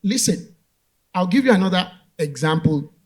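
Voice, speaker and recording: Direct, fairly high-voiced male panelist. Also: expressive, moderate pace, darkish tone, breathy edge. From Nigeria. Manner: direct, challenges premises, then builds to emphatic points. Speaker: panel speaker. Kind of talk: motivational panel talk. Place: conference stage.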